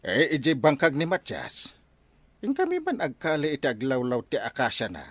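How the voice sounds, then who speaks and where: A man with an Ilocano accent playing an excitable, scheming village captain in a Filipino comedy radio drama. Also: mid-range voice, normal speed, no room sound. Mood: defensive. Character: excitable scheming male village captain chasing buried riches.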